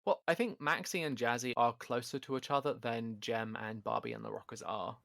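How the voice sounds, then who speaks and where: A man with a gravelly, fry-edged voice co-hosting a casual podcast. He talks loudly and pokes fun at himself. The sound is close and dry. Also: mid-range voice, a little fast, dry recording. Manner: loud, self-deprecating, chatty. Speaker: podcast co-host. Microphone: home podcast microphone.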